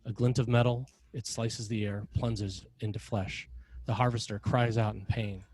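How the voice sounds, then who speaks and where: A man reading scripted narration and stage directions as a screenplay narrator, in a measured, dramatic way. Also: lowish voice, flat delivery, a little mellow.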